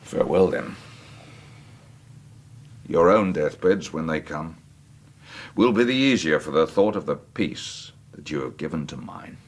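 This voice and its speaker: An actor in a radio drama playing a dying old landowner confessing a killing, bitter and unrepentant, with a gravelly, husky voice. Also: male voice, very deep voice, expressive, a little slow, long pauses, full character voice. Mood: grateful, solemn. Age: older.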